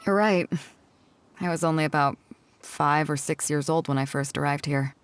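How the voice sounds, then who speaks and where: An actress playing a confident, playful yet reflective woman sea captain in video game dialogue, with a self-assured, measured way of speaking. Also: sits low, clean tone, close to an everyday voice. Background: music.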